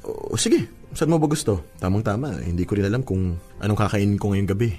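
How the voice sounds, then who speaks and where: A man's voice: lowish voice, fairly quick, mellow, faint music behind, natural voice. A man playing a shy, proud young boyfriend in a radio drama, speaking earnestly and hesitantly. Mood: agreeable. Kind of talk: Filipino radio drama acting.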